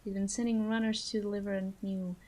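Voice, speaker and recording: A woman playing a flirty, menacing female mob boss in a roleplay audio drama, teasing and deliberate, with breathy asides. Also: mid-range voice, flat delivery, a little mellow, lightly acted. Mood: smooth, businesslike.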